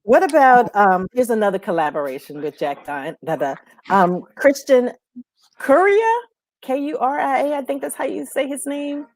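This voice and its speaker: A woman co-hosting a casual music show, talking in an animated, slangy way. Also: high-pitched, normal speed, dry recording.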